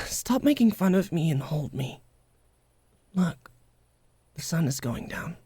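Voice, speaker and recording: A woman playing a prickly, vulnerable, secretly tender young wolfgirl in an intimate ASMR roleplay, speaking haltingly and often dropping into whispers. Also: deep voice, slightly rough, dry recording, heavily acted. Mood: flustered, defensive, then tender, hushed. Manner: halting, guarded, softly emotional.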